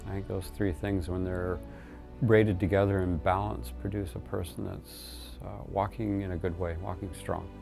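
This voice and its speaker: A man, giving a reflective testimonial in a measured, thoughtful way. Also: low voice, little pitch movement, vocal fry, darkish tone, soft background music.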